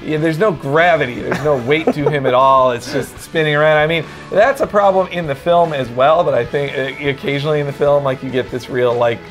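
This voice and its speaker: Higher-voiced man, casual commentary over music. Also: a little fast, hardly any pauses, rough recording. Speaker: video game commentator.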